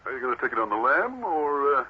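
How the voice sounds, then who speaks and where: An actor playing a scheming, cowardly crook in a melodramatic old-time radio crime drama. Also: male voice, mid-range voice, sing-song, quick pace, lightly acted. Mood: menacing. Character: scheming male villain, threatening then cowardly and desperate. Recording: muffled, noisy old radio recording.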